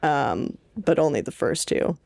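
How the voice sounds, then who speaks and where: A young woman co-hosting a podcast, chatting casually in an informal, playful, slangy way with a creaky voice. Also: deep voice, animated, a little slow, a little mellow. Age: young adult. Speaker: podcast co-host, English PhD student.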